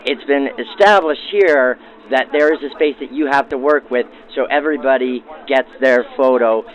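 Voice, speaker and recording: A man's voice: mid-range voice, normal speed. A man hosting with formal, florid announcer phrasing in the style of 1940s radio, through a vintage radio filter. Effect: backdated 1940s radio filter. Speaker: host, interviewer. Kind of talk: scripted 1940s radio-style narration and interviews. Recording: thin, tinny vintage radio sound.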